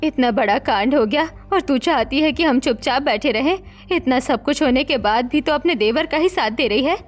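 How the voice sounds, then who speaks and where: A woman playing an anxious, stern middle-aged mother in an animated family melodrama, speaking emphatically. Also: high voice, moderate pace, soft background music. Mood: scolding.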